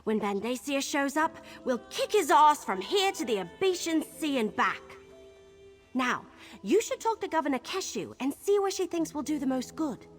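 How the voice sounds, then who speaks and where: A woman's voice: high-pitched, big pitch swings, normal speed, soft background music. A woman voicing a plucky, chatty young female archer in a video game, candid and earnest. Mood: relieved, determined. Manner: chatty, self-deprecating, earnest. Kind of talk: video game character acting.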